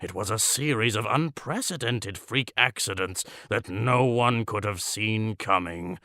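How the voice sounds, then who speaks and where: A man performs a self-important male crank reading out his letter in a comic monologue. His voice is gravelly and forceful, unhurried and full of grandiose pauses, recorded dry and close. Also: fairly low pitch, dry recording. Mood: haughty. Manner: pompous, grandiose, theatrical pauses. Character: pompous, deluded male crank writing letters to a newspaper. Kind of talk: comedic monologue read as a letter.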